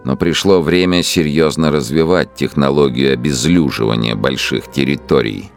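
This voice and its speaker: Very deep, gravelly brooding dictator. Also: male voice, moderate pace, soft background music. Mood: grandiose, fanatical. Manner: brooding monologue swelling into declamation. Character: embittered megalomaniac dictator brooding over old grievances. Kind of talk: radio drama interior monologue.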